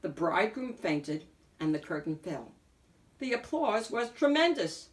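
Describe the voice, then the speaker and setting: A woman reading a picture book aloud as an expressive narrator, phrasing deliberately with emphatic swells. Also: medium pitch, recorded from a distance. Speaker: picture book reader and host.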